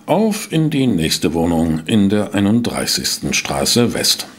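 An actor playing an eager, shrewd male investigator in a German audio drama, with a gravelly, husky voice and expressive delivery. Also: deep voice, slow pace, faint music behind, heavily acted. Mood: intrigued.

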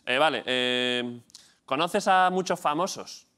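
A man in his thirties from Spain hosting a late-night talk show, teasing his guest in quick comedic banter and laughing often. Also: a little high, pushed and bright, smooth. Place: TV studio with live audience. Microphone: lapel mic. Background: audience laughter and murmurs.

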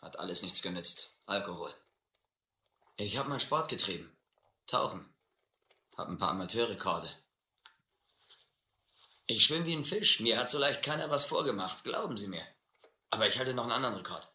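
An actor playing a desperate, educated drifter who drinks hard, rambling and pleading in halting bursts in a radio adventure play. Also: male voice, lowish voice, expressive, sharp tone, echoey room, exaggerated voice. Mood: boastful, pleading. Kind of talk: German audio adventure drama.

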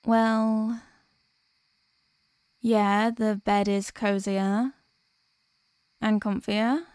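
A woman playing a sweet, sleepy workaholic girlfriend in an ASMR audio roleplay, speaking softly and hesitantly with affection. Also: medium pitch, level tone, drawn-out words, no echo, clean studio sound. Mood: sleepy, giving in.

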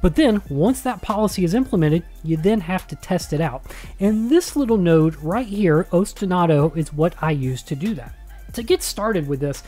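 An American man in his thirties presents a tutorial with a mellow, dark timbre. His voice sits a little high for a man, and he explains in a conversational, emphatic way. He is close to a studio microphone, with music in the background. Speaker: instructor and video host. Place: small home studio room. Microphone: close studio mic on boom arm.

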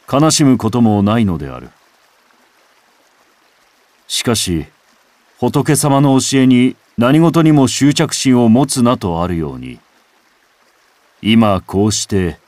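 A man reciting a literary first-person text as a scripted narration, in a measured, contemplative way with dramatic phrasing. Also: lowish voice, a little mellow, no room sound. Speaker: audiobook reader. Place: home recording.

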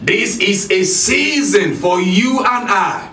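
Emphatic, slow, high-voiced male preacher. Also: lively intonation, faint music behind. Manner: emphatic preaching, repeating key lines, often shouting. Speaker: pastor. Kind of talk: sermon. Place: church hall with red curtain. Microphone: handheld mic at a lectern. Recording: echoey hall, filmed from a distance.

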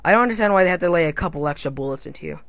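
A man's voice: fairly high pitch, animated, rapid-fire, creaky, a bit bright, no echo. A young man hosting a casual gaming commentary, joking, swearing and slipping into silly put-on accents. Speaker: gamer channel host.

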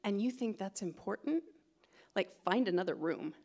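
A woman's voice: medium pitch, expressive, moderate pace, a little mellow. A middle-aged American woman from California doing a chatty, self-deprecating stand-up set on a theatre stage into a stand microphone. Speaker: stand-up comedian. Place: theatre stage before a live audience.

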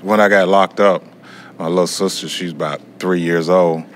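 Deep-voiced middle-aged American man. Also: fairly even intonation, normal speed, slight background noise. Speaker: prison education student. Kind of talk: candid interview talk. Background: acoustic guitar music.

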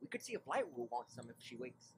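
A woman plays an eager, apologetic young pony squire in a fan cartoon. The speech is hurried and wildly varied in pitch, with faint noise behind. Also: sing-song, heavily acted. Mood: chatty.

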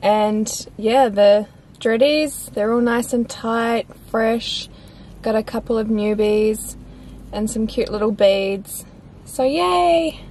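A woman's voice: a little high, fairly even intonation, slow pace, darkish tone, a little hiss or hum, low-quality recording. A young Australian woman chatting casually and slangily to camera in an upbeat way, vlogging from inside a car. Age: young adult. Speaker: travel vlogger.

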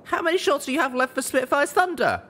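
An anxious young pony heroine in a storybook, pitched very high. The delivery is urgent. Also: male voice, lively intonation, quick pace, sharp tone, exaggerated voice. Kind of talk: storybook character acting.

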